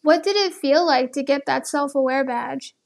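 Woman, very high voice, casual video host, conversational questioning delivery. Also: normal speed, vocal fry, faint music behind. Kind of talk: casual conversation with an AI companion on a phone call.

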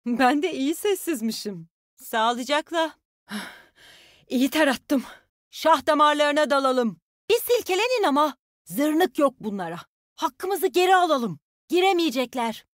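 A woman's voice: high-pitched, animated, measured pace, no echo, clean studio sound. An actress playing a spirited, tough young woman fighter in a video game, with folksy, confident call-outs to her team. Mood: firm, assured, then fierce, confident. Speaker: game character voice actor.

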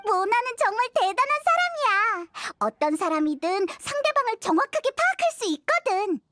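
A woman's voice: extremely high pitch, big pitch swings, moderate pace, sharp tone, slight background noise, full character voice. A woman voicing a tiny, chatty, childlike fairy companion in an anime game, eager and informal. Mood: eager, introducing. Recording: music behind the voice.

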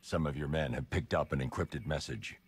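An actor playing a stoic masked vigilante in a game, terse, grave and commanding. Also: male voice, a bass voice, fairly quick, husky, exaggerated voice. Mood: grim, terse. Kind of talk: video game drama acting.

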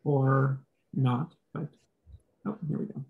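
A man hosts an online artist talk over a video call. He speaks gently and encouragingly at a middle pitch, thanking each artist and handing the floor to the next. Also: fairly even intonation, slow pace, narrow, tinny audio. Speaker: event host. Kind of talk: hosting a virtual artist talk.

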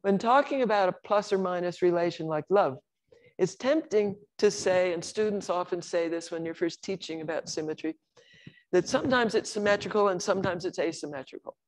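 An older woman lecturing on logic and semantics in a measured, explanatory way, with occasional restarts. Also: on the low side, rough recording. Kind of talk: academic lecture on slides.

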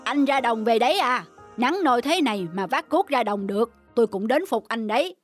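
A woman voicing a greedy, anxious middle-aged rich man in an animated folk tale, grumbling and fretful. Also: fairly high pitch, fairly quick, close to an everyday voice. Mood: grumbling, condescending. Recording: music under the voice.

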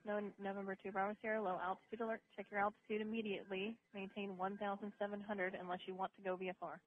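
A woman air traffic controller speaks quickly over aviation radio. Her instructions follow set procedure, with informal asides mixed in. The sound is narrow and tinny, with some hiss. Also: medium pitch, level tone.

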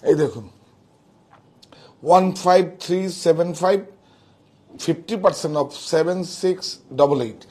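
A husky man presents goods in a shop. His pitch sits a little high for a man. He talks casually and emphatically, repeating key phrases as he sells. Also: moderate pace, pauses often, a little mellow. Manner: emphatic, repetitive. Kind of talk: casual sales demonstration. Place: indoor saree shop showroom. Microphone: wireless clip-on mic on chest.

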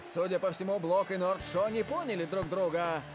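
An actor playing a keen football match commentator in a dubbed cartoon. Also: male voice, high-pitched, fairly even intonation, moderate pace, music in the background, lightly acted. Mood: excited reporting. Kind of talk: sports commentary in cartoon dub.

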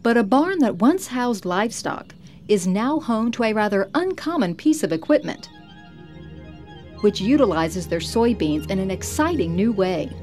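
Lively woman narrating a feature. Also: medium pitch, normal speed. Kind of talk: scripted narration. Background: soft music.

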